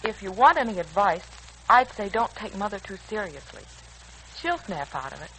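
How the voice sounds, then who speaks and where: A woman's voice: mid-range voice, very animated, moderate pace, a bit bright, low-quality recording. An actress playing a poised, wry, analytical young woman in a 1940s radio serial. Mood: wry, composed. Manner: wry, composed. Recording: old radio recording, faint noise.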